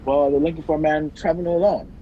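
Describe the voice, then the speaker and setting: A man playing a polite, quick-witted fugitive wrongly on the run, in a radio play recorded over a video call. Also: fairly high pitch, steady tone, close to an everyday voice. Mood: charming, furtive. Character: hunted young man, polite, quick-talking, indignant fugitive. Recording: dull, noisy home video call.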